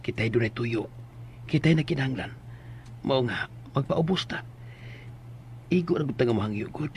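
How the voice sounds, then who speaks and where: A man with a Cebuano Filipino accent playing a humble, fussing middle-aged farmer father in a radio drama, expressive and pleading. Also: mid-range voice, moderate pace, a little hiss or hum, close to an everyday voice. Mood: earnest. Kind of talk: Philippine radio drama acting.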